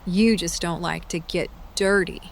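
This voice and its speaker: Woman playing a slow-talking, teasing young acolyte. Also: lowish voice, fairly even intonation, vocal fry, noisy background. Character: teasing, feisty young female acolyte and friend. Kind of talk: scripted audio drama.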